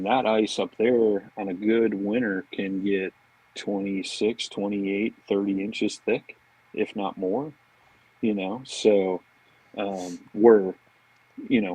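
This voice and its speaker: Deep husky Midwestern man. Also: a little mellow, no echo, poor audio. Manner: informal, anecdotal, peppered with "man" and "you know". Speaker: podcast guest, fishing marketing director. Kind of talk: casual chat.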